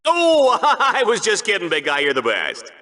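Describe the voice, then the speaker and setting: Excitable game-show sportscaster. Forceful, very animated, jokey and hammy, over-the-top commentary. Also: male voice, sits high, unhurried, full character voice. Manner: hammy, jokey showman delivery. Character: excitable, goofy, smug male sports announcer of a deadly game show. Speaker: play-by-play announcer.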